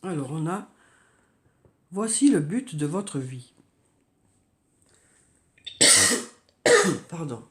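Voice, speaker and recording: A woman with a cold giving a tarot reading, talking softly and hesitantly with many fillers and repeated words. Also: low-pitched, sing-song, extremely slow, pauses often. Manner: soft, hesitant, frequent "hein" and "euh", repeats words. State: has a cold, coughing. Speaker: tarot card reader. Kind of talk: monthly horoscope card reading.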